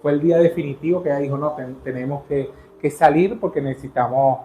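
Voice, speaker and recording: A man's voice: fairly high pitch, dark tone, slight background noise. Earnest venezuelan man, echoey room. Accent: Venezuelan Spanish. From Caracas, Venezuela. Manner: earnest, halting, with many restarts. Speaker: interview guest. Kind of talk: personal storytelling in an interview.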